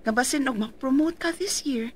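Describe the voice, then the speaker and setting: An actress with a Cebuano accent playing a caring, reassuring wife in a radio drama. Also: female voice, high-pitched, moderate pace. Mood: concerned. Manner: gently reassuring.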